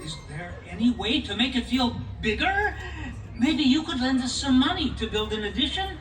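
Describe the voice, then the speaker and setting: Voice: high voice, very animated, moderate pace, fairly bright tone. A performer playing a harried, good-natured village father in a live puppet show, exclaiming and pleading in comic exasperation. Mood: pleading, wry. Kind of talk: live puppet show folk tale acting. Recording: echoey live show, distant mic.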